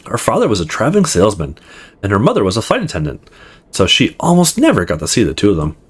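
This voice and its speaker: A man with a slightly husky voice reading a visual novel story aloud in an expressive first-person narration. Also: fairly low pitch, fast.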